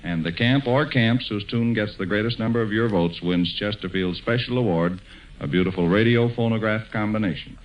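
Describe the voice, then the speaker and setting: Male American radio host, 1940s broadcast style, lowish voice, muffled vintage sound. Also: moderate pace. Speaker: radio show host and announcer. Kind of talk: 1940s radio announcing, scripted.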